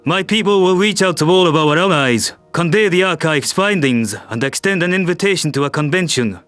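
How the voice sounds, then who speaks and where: A man's voice: fairly high pitch, fairly quick, fairly bright tone, no echo, soft background music, natural voice. A man voicing a composed male world leader in a science fiction audio drama, speaking formally and diplomatically. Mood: solemn, supportive. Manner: formal, statesmanlike.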